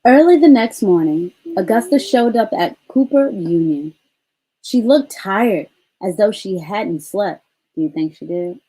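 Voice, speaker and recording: A woman reading a bedtime story aloud to a child, pausing to explain and ask questions. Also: mid-range voice, animated, mellow, poor audio.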